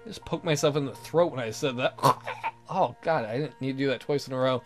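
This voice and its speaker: A man's voice: medium pitch, fairly quick, soft background music. A man hosting a let's play, reading a visual novel aloud in a jokey, theatrical, exaggerated way with comic asides.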